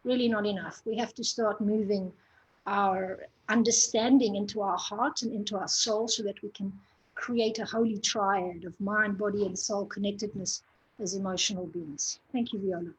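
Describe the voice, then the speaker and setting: A woman's voice: medium pitch, fairly even intonation, normal speed, slightly rough, low-quality recording. A woman from Zimbabwe speaking as a panelist in a lofty, emphatic, uplifting way. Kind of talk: inspirational conference talk.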